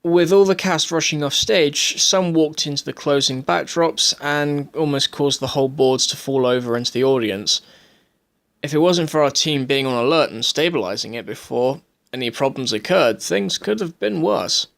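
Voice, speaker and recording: A man's voice: a little high, moderate pace, vocal fry, fairly bright tone. A young adult man, narrating a documentary from a script.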